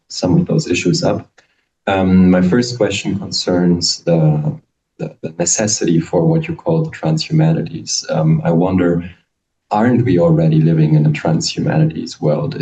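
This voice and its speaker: Very deep man asking from far off. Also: mellow, a little hiss or hum. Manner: hesitant, polite. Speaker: audience member asking a question. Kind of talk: asking a question after a lecture.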